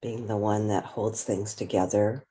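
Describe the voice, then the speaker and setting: A woman leading a guided meditation, speaking gently and without hurry, leaving long pauses between phrases. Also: rumbling low, fairly even intonation. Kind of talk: guided meditation, slow and spoken softly.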